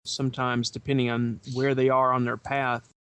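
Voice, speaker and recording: A man speaking as a podcast panelist, explaining in a measured, philosophical way in a casual discussion. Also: medium pitch, little pitch movement, a bit bright, smooth.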